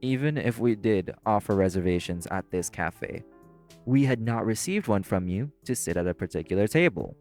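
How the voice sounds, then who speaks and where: An actor playing a primly formal, sarcastic, exasperated waiter in an audio roleplay. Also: male voice, lowish voice, lively intonation, normal speed, a little mellow, faint music behind, lightly acted. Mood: strained politeness.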